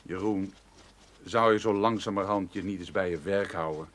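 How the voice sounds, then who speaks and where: An actor playing a grumpy, bossy mailroom chief in a radio drama, gruff, scolding and sarcastic. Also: male voice, low-pitched, moderate pace, fairly bright tone.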